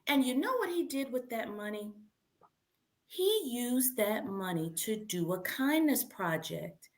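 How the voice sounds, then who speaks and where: A woman's voice: a little high, very animated, unhurried. A woman hosting a children's read-aloud camp, presenting in simple words and prompting listeners with questions.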